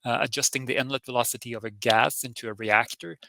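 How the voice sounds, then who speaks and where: A man from Sweden with a Swedish accent, presenting a technical software webinar in a friendly, explanatory way. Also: medium pitch, steady tone, fast talker, vocal fry, a little hiss or hum. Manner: friendly, explanatory, lightly informal.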